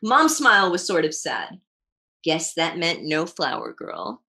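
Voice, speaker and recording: A woman's voice: sits low, sing-song, a little slow, creaky, bright, forceful tone. A woman hosting a storytime, reading a children's picture book aloud expressively. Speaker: storytime reader and host.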